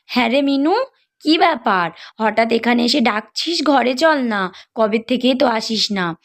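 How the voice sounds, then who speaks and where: A high-voiced elderly mother-in-law from a village cartoon , acted by a woman. She is fond and homely, pleading and coaxing, with gentle reproach in how she talks. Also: animated, unhurried. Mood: welcoming. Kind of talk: cartoon family drama acting.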